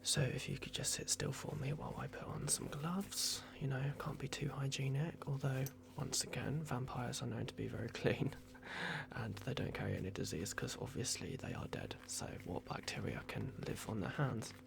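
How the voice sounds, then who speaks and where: A man voicing a playful, fussy vampire doctor in an ASMR roleplay, murmuring halting reassurances in a breathy whisper. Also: medium pitch. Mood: sheepish, joking. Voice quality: breathy whisper with gravelly creak.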